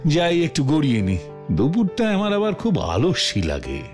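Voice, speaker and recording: A man voicing a jovial, fond middle-aged uncle in a Bengali cartoon, theatrical and gently cautioning. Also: a little high, wildly swooping pitch, normal speed. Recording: music behind the voice.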